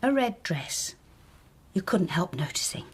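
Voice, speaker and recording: An actress playing a matter-of-fact, gossipy hotel chambermaid, wary but helpful, confiding in a radio drama. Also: female voice, lowish voice, sing-song, unhurried, a little hiss or hum.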